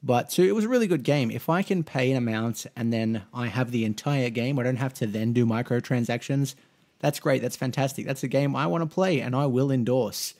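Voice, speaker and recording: A man's voice: mid-range voice, a little fast, a little mellow. A man in his twenties with an Australian accent, chatting casually and rambling through a Q&A vlog for his gaming channel. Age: 20s. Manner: rambling, with frequent restarts and fillers; easygoing, chatty. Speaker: mobile gaming YouTuber.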